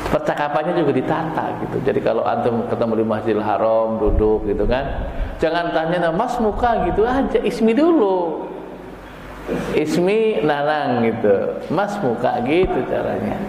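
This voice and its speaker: A man's voice: fairly high pitch, a little mellow. A man with a Javanese accent teaching an informal language class, colloquial and jokey, acting out short example dialogues. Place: large echoey room, teaching a live class. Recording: very echoey reverberant hall, clear background noise.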